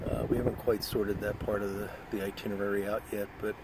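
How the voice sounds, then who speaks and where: A man's voice: lowish voice, fast talker. A man in his fifties chatting casually to camera while driving, rambling with lots of fillers. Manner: rambling, relaxed, frequent fillers. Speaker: vlogger. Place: inside a car, driving. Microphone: camera on the dashboard. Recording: loud car and road noise.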